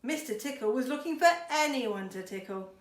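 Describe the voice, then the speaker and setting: A woman's voice: fairly high pitch, expressive, normal speed. A woman schoolteacher reading a storybook to children expressively, with chatty, encouraging asides. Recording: roomy echo, faint background noise.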